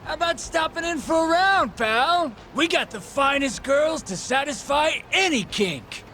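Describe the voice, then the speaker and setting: A man's voice: very high voice, animated, unhurried, bright, forceful tone, a little hiss or hum, heavily acted. An actor playing a pushy, easily rattled male street tout with a salesman's manner in a video game. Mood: wheedling. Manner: wheedling salesman patter.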